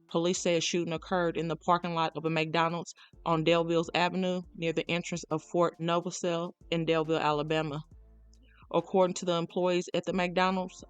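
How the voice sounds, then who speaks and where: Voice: medium pitch, flat delivery, fairly quick, smooth, no room sound, faint music behind. A narrator reading a scripted true crime documentary account.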